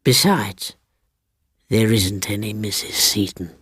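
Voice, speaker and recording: A man voicing a sour, peevish, half-blind old aunt with a croaking voice, in a ghost story reading. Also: fairly low pitch, slow pace, fairly bright tone, no room sound, clean studio sound, full character voice. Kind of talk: dramatised audiobook ghost story.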